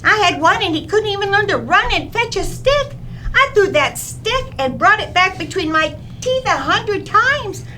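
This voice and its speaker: Woman acting high, bubbly, scatterbrained radio-comedy wife. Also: sing-song, normal speed, bright, forceful tone, slight background noise, full character voice. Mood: earnest, daffy. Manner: bubbly, innocent, earnest. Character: cheerful scatterbrained wife with daffy sincere logic. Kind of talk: old-time radio comedy acting on stage.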